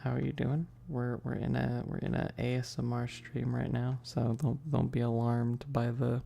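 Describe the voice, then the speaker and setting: A livestream host chatting casually and informally in a soft, close whispery ASMR delivery into a binaural mic, rambling with teasing asides to chat. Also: mid-range voice, flat delivery, normal speed, gravelly, soft background music. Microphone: close binaural microphone. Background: tapping and keyboard clicking.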